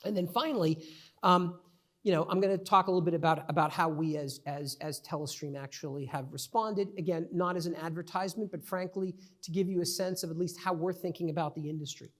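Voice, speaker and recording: A man's voice: a little high, steady tone, fairly quick. A middle-aged man with a New York accent, giving a conversational conference presentation with many fillers and restarts. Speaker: conference speaker.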